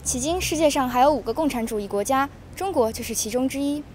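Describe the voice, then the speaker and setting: Woman, host, high pitch, scripted narration, formal. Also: lively intonation, fast talker, darkish tone, a little hiss or hum.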